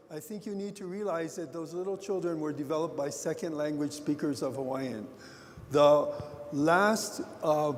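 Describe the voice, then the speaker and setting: A man giving a conversational talk to a conference audience, speaking loosely with pauses and restarts. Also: high-pitched, darkish tone. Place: conference hall. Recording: roomy hall, faint background hiss.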